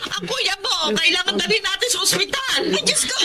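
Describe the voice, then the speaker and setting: A woman's voice: very high voice, animated, brisk, heavy background noise. An actress playing an anxious, devoted mother in a Filipino radio drama, speaking in a fretful, melodramatic way. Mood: panicked, distressed. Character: anxious, devoted mother fretting over her children. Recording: loud dramatic music under voice.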